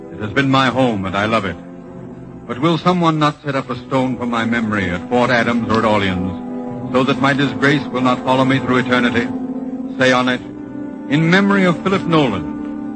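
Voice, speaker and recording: A man acting as a grave, compassionate ship's captain in a 1950s radio play. The voice is mid-pitched, solemn and declamatory. Music swells behind it on the old recording. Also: close to an everyday voice, rough recording.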